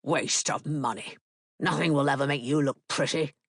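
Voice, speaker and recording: A woman's voice: low-pitched, moderate pace, no echo, clean studio sound, voice pushed down. A woman voicing a cold, contemptuous aristocratic father who talks in a harsh, sneering way.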